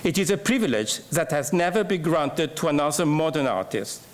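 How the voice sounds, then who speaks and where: A man reading a prepared scholarly paper at a symposium, formal and measured. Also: fairly high pitch, lively intonation.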